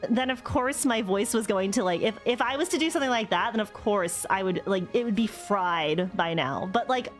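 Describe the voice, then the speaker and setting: Animated young woman, put-on American accent. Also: mid-range voice, normal speed, hardly any pauses. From Australia. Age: young adult. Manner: Valley-girl delivery, full of "like". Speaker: VTuber streamer. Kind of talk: casual livestream chat. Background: music.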